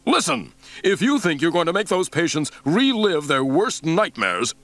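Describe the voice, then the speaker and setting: A man's voice: mid-range voice, big pitch swings, slow, gravelly, a bit bright, no echo, pitched above the performer's own voice, heavily acted. A man voicing a big, red-faced male county doctor who rages and threatens. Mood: raging. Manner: raging, blustering, threatening.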